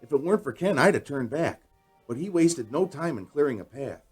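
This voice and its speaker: A man's voice: on the high side, lively intonation, fairly quick, slightly rough, faint music behind. A man acting in a radio drama as an earnest, shaken young man recounting a frightening night. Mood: tense recounting.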